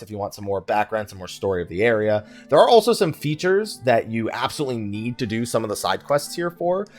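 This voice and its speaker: A man hosting a let's play, talking in a casual, rambling, self-deprecating way. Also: on the low side. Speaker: gaming video host. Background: game music.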